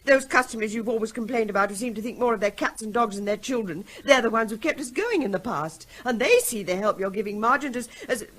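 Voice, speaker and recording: A woman's voice: on the high side, fast talker, hardly any pauses, a bit bright, close to an everyday voice. An actress playing an anxious middle-aged wife who pleads emotionally with her husband in a radio drama. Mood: distressed. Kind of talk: 1970s radio drama acting.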